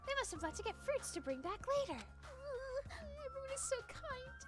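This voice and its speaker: A woman voicing a timid, gentle young female nurse who is soft-spoken and eager to please, reading game dialogue aloud. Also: very high-pitched, sing-song, moderate pace, over loud music, voice pushed up. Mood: tearful.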